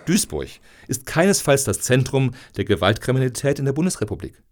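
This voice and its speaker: An actor playing a smug lobbyist in a satirical radio play, speaking in glib, wordy official euphemisms. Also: male voice, mid-range voice, sing-song, brisk, natural voice. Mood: smug, emphatic. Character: smug male lobbyist for tolerating violent crime.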